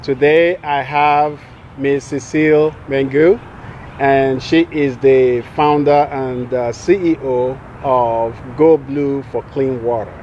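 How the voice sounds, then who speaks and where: A man hosting a community interview show, asking questions in a friendly way with halting fillers. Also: mid-range voice, fairly even intonation, a little mellow, lo-fi sound, a little hiss or hum. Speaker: talk show host.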